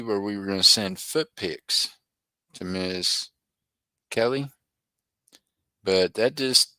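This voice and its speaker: A man's voice: on the low side, sharp tone, dry recording. A middle-aged man hosting a live auction stream, chatting and joking with viewers by name. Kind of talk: casual livestream chat.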